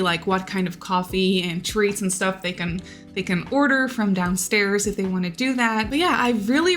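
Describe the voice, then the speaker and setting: Young Dutch-native woman for a relaxed game voice-over, mid pitch, rambling and friendly, with music faint underneath. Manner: chatty, many fillers and repeated words. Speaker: Sims builder YouTuber. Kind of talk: casual voice-over commentary. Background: soft background music.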